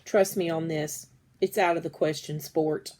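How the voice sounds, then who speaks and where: A woman's voice: low voice, steady tone, a little slow, dark tone, voice pushed down. A woman voicing a firm, no-nonsense, exasperated dad in a children's story.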